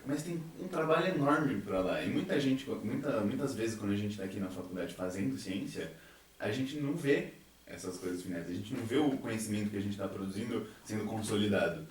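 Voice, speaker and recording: A man's voice: fairly low pitch, expressive, brisk, a little hiss or hum. Very echoey young Brazilian man. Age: young adult. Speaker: university student in a science theatre group. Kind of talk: casual podcast conversation. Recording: very echoey room, distant from microphone.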